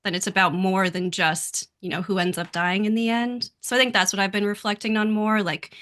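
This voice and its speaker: A woman speaking as a podcast guest in casual chat, reflective and measured in manner. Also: medium pitch, steady tone, fairly bright tone.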